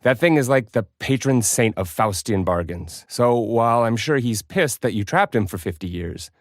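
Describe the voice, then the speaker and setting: A man's voice: medium pitch, animated, normal speed, no room sound, studio quality, close to an everyday voice. A man playing a glib, wry warding expert. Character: wry male warding specialist. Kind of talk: fan voice drama.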